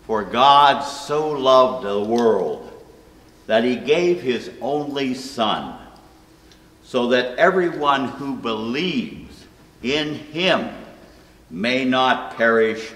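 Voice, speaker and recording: Very slow older man reading scripture. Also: mid-range voice, lively intonation, a bit bright. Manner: deliberate, phrase by phrase. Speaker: scripture reader. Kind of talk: solemn Bible reading. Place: indoor church room at a pulpit. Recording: echoey hall, long reverb, distant mic.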